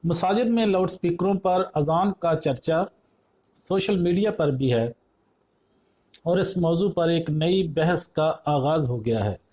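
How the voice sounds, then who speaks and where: A man narrating a scripted news report, reading formally in measured phrases. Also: fairly high pitch, darkish tone, rough recording.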